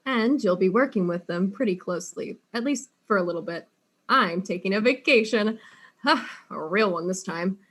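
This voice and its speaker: A woman playing a brisk, wry, world-weary detective in a comedic audio drama. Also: mid-range voice, expressive, fairly bright tone.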